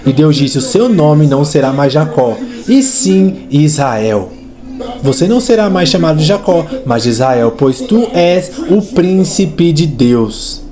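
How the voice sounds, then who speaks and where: A man's voice: on the high side, sing-song, a little slow. A man dubbing a fervent preacher in a sermon, speaking emphatically and with rhetorical repetition. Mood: emphatic teaching. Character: fervent male preacher exhorting a congregation. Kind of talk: dubbed sermon. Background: music.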